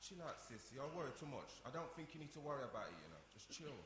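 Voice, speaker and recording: A man's voice: mid-range voice, very animated, fast talker, a bit bright. A man playing a laid-back, evasive brother in a radio play, casual, slangy and placating. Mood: dismissive. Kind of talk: live-performed radio play. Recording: echoey room, faint noise.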